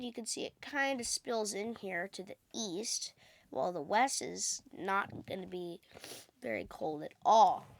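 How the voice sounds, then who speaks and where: A woman's voice: medium pitch, no room sound. A woman who is a little sick, giving an informal, explanatory amateur weather forecast as she talks through maps. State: sick with a cold. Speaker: amateur weather vlogger, host. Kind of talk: casual weather forecast commentary over maps.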